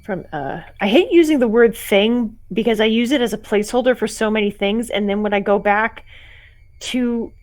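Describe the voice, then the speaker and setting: A chatty woman streamer with a slightly raspy voice. She talks casually in loose, rambling asides, thinking aloud as she writes. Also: a little high, moderate pace, darkish tone. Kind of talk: casual live-stream chat while writing.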